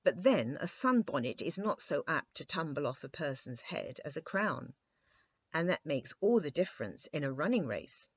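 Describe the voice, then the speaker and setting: A woman narrates a children's story from a script. Her voice is on the low side, and the recording is very dry with slightly dulled sound. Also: big pitch swings, normal speed, slightly rough, no echo, lo-fi sound.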